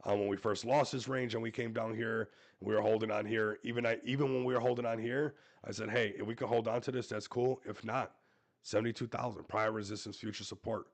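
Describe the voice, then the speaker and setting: A man hosting a casual crypto livestream, hoarse and losing his voice, hyping coins and calling out to viewers. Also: fairly low pitch, fairly even intonation, fairly quick. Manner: hype-driven, repeats "everybody", talks to viewers. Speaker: crypto show host.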